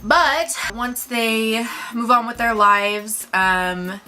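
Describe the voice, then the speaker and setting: Female review co-host, very bright voice, casual and chatty delivery. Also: on the high side, drawn-out words.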